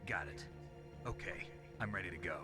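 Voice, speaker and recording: Man acting a low, terse soldier hero. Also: normal speed, lightly acted. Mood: terse, focused. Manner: terse, clipped military radio talk. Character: gruff terse male covert soldier hero. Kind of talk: video game voice acting. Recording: game audio with loud music.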